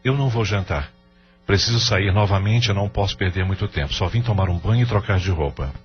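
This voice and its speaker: A Brazilian actor playing a grieving, burdened father, gentle but evasive, in an audio drama. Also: sits low, fast, natural voice. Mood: grave. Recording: dull lo-fi audio under music.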